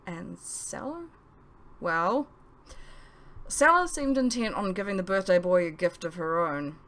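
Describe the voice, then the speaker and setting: A woman reading her own novel aloud as expressive audiobook narration. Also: lowish voice, unhurried.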